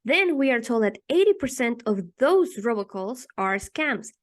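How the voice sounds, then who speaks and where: A woman's voice: on the high side, very animated, normal speed. A woman teaching, methodically explaining a math problem step by step as she writes it out, with rhetorical questions along the way. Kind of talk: explaining a math problem while writing on paper.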